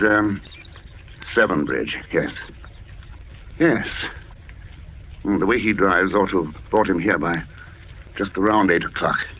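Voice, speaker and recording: A man playing a grieving, vengeful writer in an old radio drama, politely guarded and sometimes halting in his speech. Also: lowish voice, pushed and bright, a little hiss or hum, exaggerated voice. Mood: halting, probing. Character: grieving, vengeful writer hunting a killer, diary narrator. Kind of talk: 1940s radio drama narration and dialogue. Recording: dull old radio recording, music behind.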